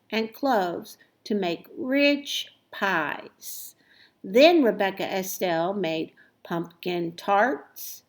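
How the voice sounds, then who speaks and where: A woman reading a children's story aloud expressively, with deliberate, emphatic phrasing and dramatic pauses. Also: mid-range voice. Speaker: storybook reader.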